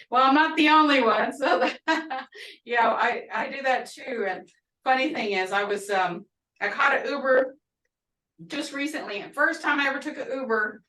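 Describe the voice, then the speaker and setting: A woman's voice: sits high, normal speed, bright, forceful tone. Chatty woman with an Oklahoma twang. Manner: chatty, laughing often, storytelling asides. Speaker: language instructor. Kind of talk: casual online class teaching. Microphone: video call. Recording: roomy, distant video-call audio.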